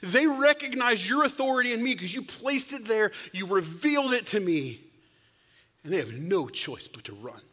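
A man preaching a sermon, telling stories with loud bursts and sudden hushed whispers. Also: sits high, sing-song, moderate pace. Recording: long hall reverb.

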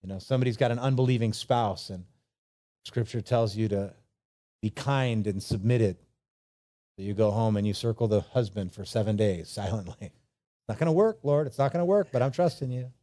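A man's voice: on the low side, normal speed, dark tone. A man preaching a conversational Bible sermon, informally acting out imagined replies and repeating phrases for emphasis. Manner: rhetorical questions and repetition for emphasis.

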